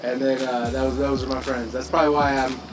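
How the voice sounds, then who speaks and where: A man hosting a podcast interview, asking short questions in a relaxed, casual way. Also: mid-range voice, quick pace, over music. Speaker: podcast host. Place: indoors.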